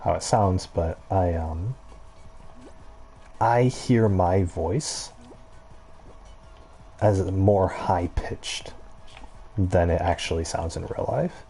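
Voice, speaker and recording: A man with a low-pitched voice giving casual gameplay commentary, rambling in a halting way and circling back on his thoughts. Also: mellow, faint music behind. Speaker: gaming video creator.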